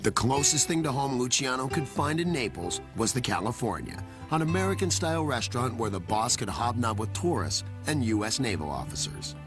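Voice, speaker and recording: A man narrating a crime documentary in a measured, dramatic scripted read. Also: mid-range voice, sing-song. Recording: music bed under voice.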